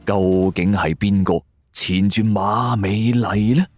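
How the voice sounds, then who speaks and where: A man's voice: lowish voice, steady tone, takes it slowly, darkish tone, no room sound, studio quality. A man narrating a scripted suspense story in a dramatic way. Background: background music.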